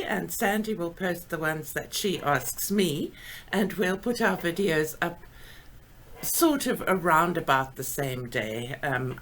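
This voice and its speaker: Chatty South African woman, lowish voice. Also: fairly bright tone. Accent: South African English. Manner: chatty, laughs often, enthusiastic. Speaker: host. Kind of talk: casual chat. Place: home. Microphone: video call with earphones.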